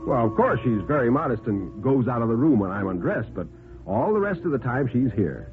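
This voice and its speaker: Man playing a smug, drawling party bachelor, lively pitch. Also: fairly quick, close to an everyday voice. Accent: American. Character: tipsy, smug society bachelor improvising sinister boasts. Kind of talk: 1950s radio drama acting. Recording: vintage radio recording, phone-like band, music behind.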